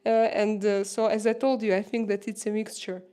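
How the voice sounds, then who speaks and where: A woman from Russia with a Russian accent, hosting a casual livestream chat, talking haltingly with many fillers and frequent laughter. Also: medium pitch, level tone, mellow. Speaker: livestream host.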